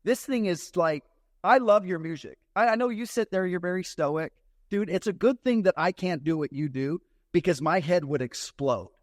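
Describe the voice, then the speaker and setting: A man hosting a casual interview, animated and joking with his guests. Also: high voice, moderate pace, no room sound, studio quality. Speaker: podcast host.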